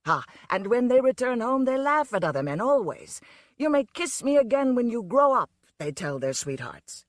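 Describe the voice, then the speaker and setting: A woman's voice: fairly high pitch, big pitch swings, moderate pace, dry recording, studio quality, voice pushed up. A woman voicing a huge, drunken circus fat man who brags sleepily and boastfully. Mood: gloating.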